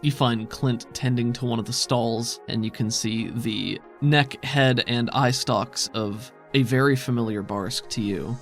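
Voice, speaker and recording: A man with noticeable vocal fry acts as game master. He describes scenes in a loose, conversational style at a middling pitch. Soft music plays behind him. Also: unhurried, few pauses. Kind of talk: casual improvised narration of a tabletop roleplaying game.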